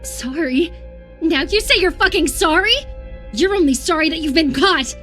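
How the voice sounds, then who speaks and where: An obsessive, unhinged lover from a horror game, with a high voice over clear background music. The delivery is intense and volatile, sliding between tender devotion and menace. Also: female voice, animated, moderate pace, a bit bright. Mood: scornful. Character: unhinged, obsessive lover convinced an impostor replaced their beloved. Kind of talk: dramatic horror visual novel voice acting.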